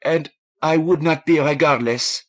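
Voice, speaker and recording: A man voicing a timid, distraught French woman who speaks halting, stilted English in a small voice for an audiobook. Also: fairly high pitch, pitched above the performer's own voice. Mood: ashamed, wretched. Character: timid, distraught young Frenchwoman, tearful and devout in scruple.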